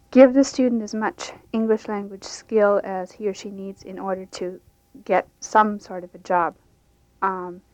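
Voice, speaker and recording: A woman's voice: medium pitch, a little mellow, dry recording. A woman who teaches English to immigrants, explaining her programs in an unscripted, plain-spoken way. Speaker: English language instructor. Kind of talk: interview answers, unscripted. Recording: dull lo-fi radio recording.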